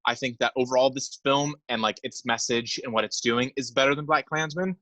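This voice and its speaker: A man's voice: medium pitch, fairly quick, pushed and bright, dry recording. A young man co-hosting a casual film podcast, talking with enthusiasm and often repeating words as he gathers his thoughts. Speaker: podcast co-host.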